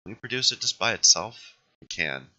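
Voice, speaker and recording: Hoarse man thinking aloud over gameplay. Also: lowish voice, animated, fairly quick, bright, forceful tone, poor audio. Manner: thinking aloud, self-correcting. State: hoarse from being sick. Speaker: let's play host. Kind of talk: casual gameplay commentary.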